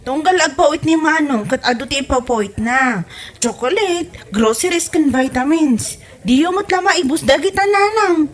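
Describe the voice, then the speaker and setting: A woman's voice: sits high, expressive, moderate pace. A woman playing an exasperated grown daughter in a comedy audio drama, speaking with an Ilocano accent. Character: exasperated grown daughter nagging her stingy mother.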